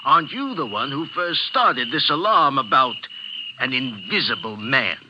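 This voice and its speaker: An actor playing a frail, elderly, indignant professor in an old radio drama, formal and protesting in manner. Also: male voice, mid-range voice, lively intonation, normal speed, lightly acted. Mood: suspicious. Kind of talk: 1940s radio acting. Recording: noisy, muffled old radio recording.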